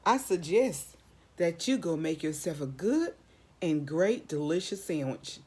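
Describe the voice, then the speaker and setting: A woman reads a children's storybook aloud in a slightly low voice. She goes very slowly and dramatically, repeating phrases for emphasis and putting questions to her young listeners. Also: big pitch swings, darkish tone, slightly rough. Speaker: storybook read-aloud reader.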